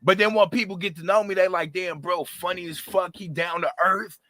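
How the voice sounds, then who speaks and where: A raspy-voiced man in his thirties, a rapper talking animatedly and passionately in a casual interview, full of slang and fillers. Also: high voice, steady tone, fairly quick, pushed and bright, dry recording.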